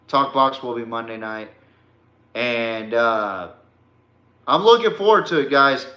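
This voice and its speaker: Male podcast host, forceful bright voice, unhurried pace, casual sports talk, slangy and folksy, echoey room. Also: mid-range voice. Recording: roomy, echoey sound.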